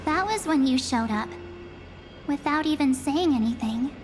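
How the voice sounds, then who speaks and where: A woman's voice: high voice, expressive, moderate pace, soft background music. An actress playing a young, anxious girl traveler in a video game, speaking in a hesitant, informal way. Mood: hesitant, unsurprised.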